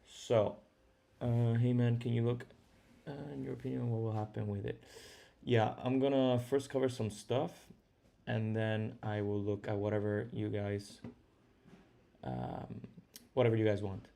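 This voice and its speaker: A man's voice: lowish voice, level tone, measured pace, vocal fry, dark tone. A young man with an Italian accent hosting a live trading stream from home, talking casually and thinking aloud with fillers. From Italy. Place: his home.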